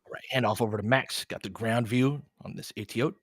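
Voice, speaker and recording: A man's voice: lowish voice, fast, dry recording, studio quality. A man hosting a casual fan livestream, calling basketball in short clipped phrases mixed with chatty asides. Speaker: play-by-play host.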